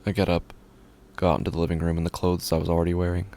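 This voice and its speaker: A man acting as a bereaved, subdued widower in a horror audio drama, speaking in a halting, understated way. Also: low-pitched. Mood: numb, quiet. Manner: halting, flat, understated delivery. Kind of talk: scripted horror fiction narration.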